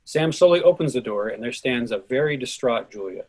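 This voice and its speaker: A man's voice: medium pitch, animated, brisk, a little mellow. A man narrating scripted stage directions for a play reading.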